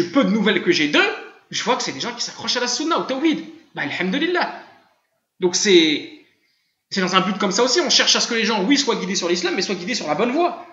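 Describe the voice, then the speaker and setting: A man with an Arabic-tinged French accent giving a live online religious lesson, animated, firing off rhetorical questions and chuckling as he goes. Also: high voice. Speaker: religious teacher. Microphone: voice chat room. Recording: roomy, dull lo-fi voice-chat audio.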